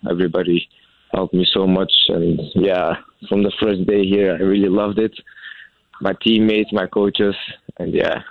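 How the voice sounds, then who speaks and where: A young man from Belgium answers questions in a very deep voice, searching for words and restarting his sentences often. The sound is thin and phone-like, with no room around it. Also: no echo. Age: young adult. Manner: halting, many fillers and restarts. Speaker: college tennis player. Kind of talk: interview answers.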